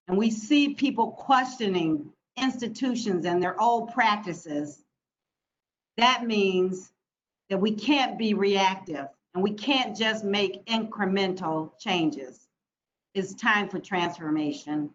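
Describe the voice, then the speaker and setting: An American woman delivers a prepared formal speech in a deliberate, emphatic manner with rhetorical repetition. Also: medium pitch. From United States (Michigan). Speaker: speaker from a funding organization. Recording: dull lo-fi audio with background noise.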